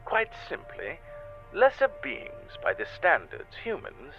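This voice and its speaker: An actor playing a pompous, precise nature documentary narrator heard through a television speaker. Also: male voice, on the high side, huge pitch swings, slow pace, full character voice. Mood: grave, condescending. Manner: grandiose, precise. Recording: dull television sound, faint music.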